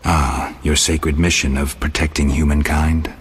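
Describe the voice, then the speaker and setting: An actor playing a sardonic, terse monster hunter in a video game, with a dry, laconic delivery. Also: male voice, extremely low pitch, level tone, unhurried, husky, exaggerated voice. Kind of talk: video game character acting. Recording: loud music behind the voice.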